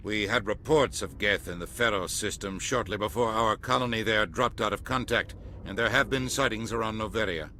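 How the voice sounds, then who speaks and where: A self-important male ambassador for a video game. His voice is low and forceful, with a brusque, officious delivery. There is faint noise in the background. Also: normal speed, few pauses. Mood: businesslike. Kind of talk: video game dialogue acting.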